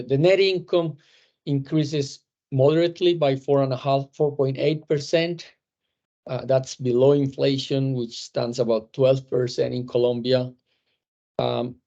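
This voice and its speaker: A man's voice: medium pitch, mellow. Man presenting results over a dull line. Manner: measured, hesitant with frequent fillers and restarts. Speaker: company executive presenting results. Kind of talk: earnings call presentation.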